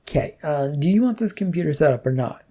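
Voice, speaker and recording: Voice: medium pitch, expressive, fast talker, mellow, close to an everyday voice. A storyteller playing a weary, sarcastic male office IT worker with a dry, hesitant manner. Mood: dry, exasperated.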